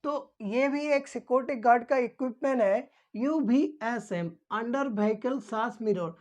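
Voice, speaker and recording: A woman's voice: fairly high pitch, slow pace, a little mellow. A woman instructor giving an informal explanatory lesson, repeating key points and answering her own questions.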